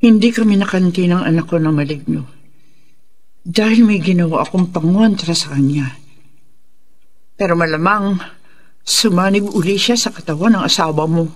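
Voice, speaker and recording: A woman's voice: on the low side, moderate pace, long pauses, lightly acted. An actress playing a resolute faith healer mother with a solemn, authoritative delivery in a horror radio drama. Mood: grave, commanding.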